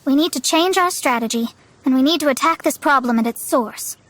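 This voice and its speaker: A woman's voice: high-pitched, a little fast, a bit bright. A woman voicing a confident, analytical young astrologer in a video game, explaining things in a self-assured manner. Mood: determined. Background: game music.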